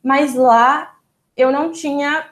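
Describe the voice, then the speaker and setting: A young woman with a Brazilian Portuguese accent and a notably high voice, talking as an interview guest. She explains things at an easy, conversational pace, and often stops on a short filler sound or restarts a word before going on. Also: slow. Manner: relaxed and explanatory, with frequent "é" fillers and small restarts. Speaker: interview guest, doctoral student. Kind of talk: casual interview storytelling.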